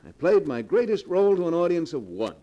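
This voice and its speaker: An actor playing a vain, scheming husband who gloats theatrically in a 1940s radio drama. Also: male voice, on the high side, sing-song, a little fast, warm tone, lo-fi sound. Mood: smug. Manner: theatrical, boastful, self-satisfied.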